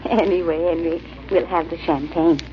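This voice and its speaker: An actress playing a playful, curious female companion in an old-time radio thriller, with lively banter. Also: lowish voice, normal speed, lightly acted. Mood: wry. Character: Elaine, playful, teasing, curious lady companion. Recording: muffled old radio, faint hiss.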